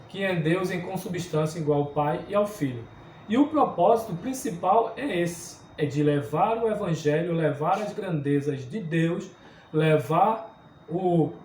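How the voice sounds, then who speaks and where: A man with a high-pitched voice gives an earnest Bible lesson. He teaches at an unhurried pace and keeps checking in with his class. He is recorded from some distance in an echoey room, with a faint hum of ambience behind him. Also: a little mellow. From southern Brazil. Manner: earnest teaching, frequent tag questions. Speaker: Sunday school teacher. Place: church room.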